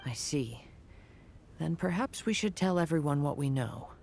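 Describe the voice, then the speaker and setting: A woman playing a calm, polite and cautious young traveler in a video game, reserved and earnest. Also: deep voice, moderate pace, gravelly, a little hiss or hum. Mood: thoughtful. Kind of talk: video game character acting.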